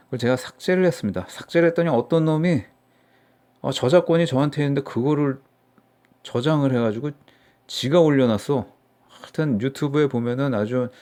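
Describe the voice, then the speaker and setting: A man's voice: medium pitch, dark tone. A middle-aged man from Seoul giving casual, mocking political commentary for his own channel, rambling with many fillers. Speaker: political YouTuber.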